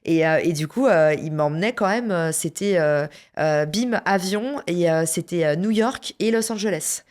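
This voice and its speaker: A French woman telling a personal story in a casual interview, lively and slangy, with many fillers and restarts. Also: fairly low pitch, normal speed. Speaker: podcast guest, entrepreneur.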